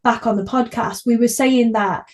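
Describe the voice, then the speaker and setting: A woman's voice: a little high, steady tone, normal speed, darkish tone. A woman hosting a podcast, chatting casually in an enthusiastic, effusive way. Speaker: podcast host.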